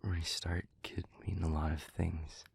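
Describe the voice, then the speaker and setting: Gentle, remorseful demon , played by a man, for intimate audio roleplay: gravelly, subdued and flat in melody, near-whispered, careful and hesitant. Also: a little hiss or hum. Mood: hushed, attentive. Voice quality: breathy, hushed, near-whispering low voice. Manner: halting, earnest, careful, with long pauses.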